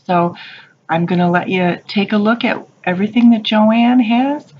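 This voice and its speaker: A woman's voice: mid-range voice, moderate pace, highs cut off. A woman narrating a casual shop-with-me video in a craft store, gushing and chatty about what she finds. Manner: gushing, chatty, calls things cute. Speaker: shopping vlogger. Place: craft store aisles, indoors.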